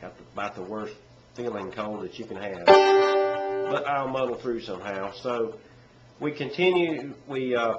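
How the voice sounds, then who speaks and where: A man with a head cold and a stuffy nose, teaching banjo in a relaxed, rambling way with many pauses. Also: on the low side. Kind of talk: casual instructional talk. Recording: echoey room with dull, lo-fi sound. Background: loud banjo playing.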